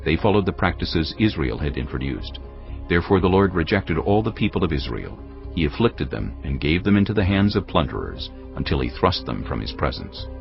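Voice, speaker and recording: A man narrating dramatised scripture from a script in a grave, measured, dramatic manner. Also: rumbling low, big pitch swings, vocal fry. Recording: dull audio, music underneath.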